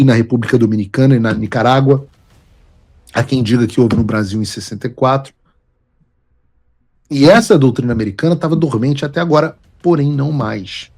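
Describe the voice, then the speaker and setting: Husky-voiced male political commentator. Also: medium pitch, a little mellow. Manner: emphatic, lecturing, with restarts. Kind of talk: opinion commentary.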